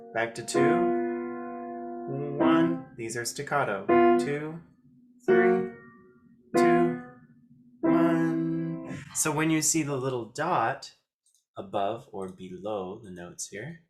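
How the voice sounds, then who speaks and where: Guy teaching piano, slow and deliberate, short counting phrases, piano sounds in the background. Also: medium pitch. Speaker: piano teacher, host of video lessons. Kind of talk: step-by-step instructional demonstration. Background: piano notes.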